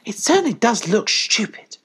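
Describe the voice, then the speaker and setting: Storybook puppy done by a male narrator: very young, high and swooping, cheeky, full of questions. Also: wildly swooping pitch, a little slow, gravelly, a bit bright, husky, full character voice. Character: cheeky, curious little collie puppies.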